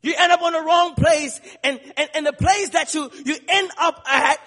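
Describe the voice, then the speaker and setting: A man from Liberia preaching a sermon in a large church, calling to the congregation and rising into shouts. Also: very high voice, normal speed. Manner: rhythmic call-and-response preaching, building to shouts. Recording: long church reverb.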